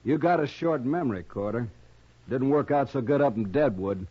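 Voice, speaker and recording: A man's voice: mid-range voice, animated, normal speed, slightly rough. A man playing a sour, sceptical American gambler's sidekick in a radio western, grumbling and sardonic. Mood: gloomy grumbling. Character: sour, sceptical drawling partner of a crooked gambler. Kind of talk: 1950s radio western acting. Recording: muffled vintage radio recording.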